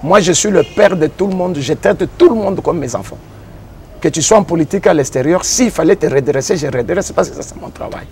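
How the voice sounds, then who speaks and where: A man with a Congolese French accent, a pastor and engineer, answering interview questions in a measured way and repeating phrases as he defines ideas. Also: on the high side, darkish tone, slight background noise. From Democratic Republic of the Congo.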